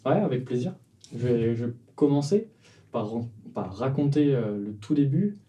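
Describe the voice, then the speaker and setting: Man, 20s, startup cofounder guest; hesitant, filler-heavy delivery, very dark timbre, recorded from a distance. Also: mid-range voice, lively intonation, normal speed. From France, Vendée. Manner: hesitant, many fillers and restarts. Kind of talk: casual interview answers.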